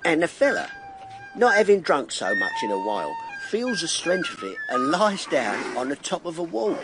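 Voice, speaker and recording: A man's voice: high-pitched, very animated, normal speed, very noisy. A man acting an earthy, wry and plaintive working-class Londoner of plague times in a radio drama, talking colloquially. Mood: wry storytelling.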